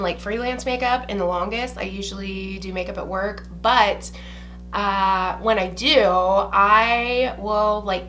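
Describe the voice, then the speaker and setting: A woman's voice: medium pitch, bright, forceful tone. A young woman from Ohio, chatting to camera in a blunt, casual way and swearing freely. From Ohio, USA. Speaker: beauty vlogger. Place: small indoor room with shelves behind.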